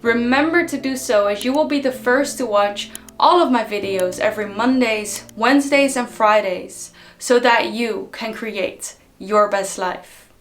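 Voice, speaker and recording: A woman from the Netherlands, giving an unhurried, reflective motivational talk to her viewers with frequent ums. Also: fairly high pitch. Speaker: personal development vlogger.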